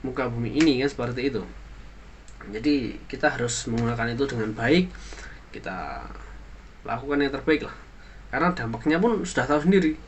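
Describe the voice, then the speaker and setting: A young man, a university student, giving an earnest spoken opinion for a class assignment, halting now and then with fillers and restarts as he moralizes. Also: medium pitch, a bit bright, slight background noise. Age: young adult.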